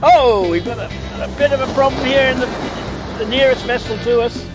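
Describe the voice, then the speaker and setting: A high-pitched Welsh man talks eagerly into his handheld phone on a surf beach. He calls out to viewers by name as he narrates the race, and music plays clearly under his voice. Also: fairly quick. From Wales. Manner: chatty, excitable, addresses viewers by name. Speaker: livestream host. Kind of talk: live commentary to viewers. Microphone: phone mic held in hand.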